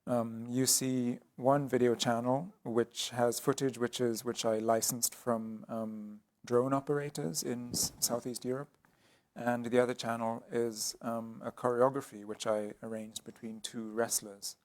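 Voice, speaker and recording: A man speaking as an artist guest in an interview, explaining his work thoughtfully with frequent pauses and restarts. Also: medium pitch, level tone, a little mellow. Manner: hesitant, many ums and restarts.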